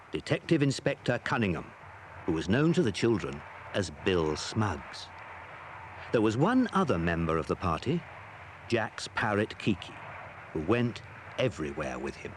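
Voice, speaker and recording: A man's voice: low voice, sing-song, a little slow, a little hiss or hum. A man narrating a children's adventure audio drama as a scripted storyteller. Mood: measured, storytelling.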